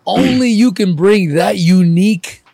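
A man's voice: sits high, a little slow, creaky, dark tone, dry recording, clean studio sound. A man from Ukraine hosting a casual, candid interview chat. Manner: candid, reflective, swears casually. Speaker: podcast host.

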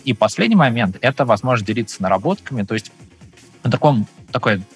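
A man's voice: mid-range voice, animated, fast talker, soft background music. A man giving an informal, explanatory talk as a designer, conversational and sprinkling in English design jargon.

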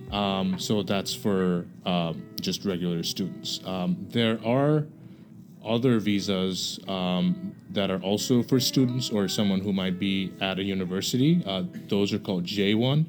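A young man from Bangladesh, a podcast guest, explaining things casually with many hesitations and fillers. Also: deep voice.

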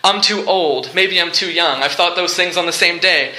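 A man delivers a sermon in a very bright, forceful voice that sits a little high. He speaks in short, deliberate phrases and leans on repetition for effect. The room around him rings with echo. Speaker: preacher. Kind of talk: sermon with movie illustrations and humour. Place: live talk in a large room before listeners. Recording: echoey room.

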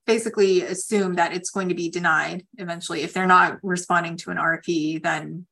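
A woman attorney hosting a conference call, explaining legal points in measured, pausing phrases. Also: lowish voice, steady tone, gravelly, fairly bright tone.

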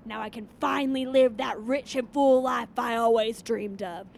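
A man putting on a gushing woman passenger's voice in a high falsetto. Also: steady tone, normal speed, vocal fry, slight background noise.

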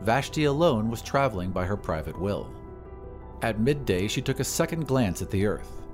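A man's voice: lowish voice, animated, normal speed. A man reading scripted narration as the narrator and host of an audio drama podcast. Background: music.

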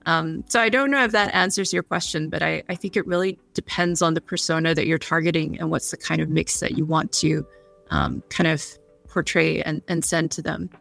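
A woman's voice: low-pitched, fairly even intonation, brisk, gravelly, fairly bright tone, soft background music. A woman who works as a marketer, speaking as a panelist in a thoughtful way with many fillers. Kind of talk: panel discussion.

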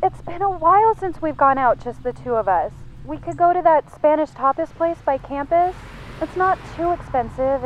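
A woman's voice: high voice, a little hiss or hum, close to an everyday voice, low-quality recording. An actress playing a needy, resentful young girlfriend who pleads and accuses, in an audio drama.

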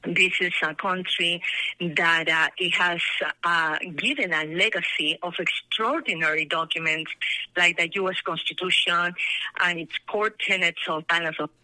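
A middle-aged woman with a Peruvian Spanish accent, talking earnestly as a guest in a radio interview, pausing and stressing words. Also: on the low side, pushed and bright, no room sound, rough recording. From Peru. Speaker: radio guest, initiative sponsor.

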